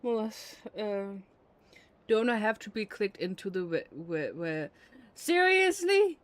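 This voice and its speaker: A man's voice: high-pitched, big pitch swings, takes it slowly, a bit bright. A man streaming a game with casual, teasing, self-deprecating commentary and some swearing. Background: game music.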